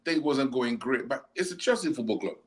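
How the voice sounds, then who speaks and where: A man co-hosting a casual football chat, animated and laughing, often mimicking people in quotes. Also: mid-range voice, a little fast. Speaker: podcast co-host.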